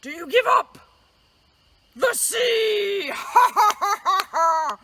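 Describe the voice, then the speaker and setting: A woman's voice: very high-pitched, lively intonation, takes it slowly, noisy background. A woman hosting a children's story time in a theatrical, playful, exclamatory way. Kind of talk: theatrical children's storytelling, acted skits and jokes.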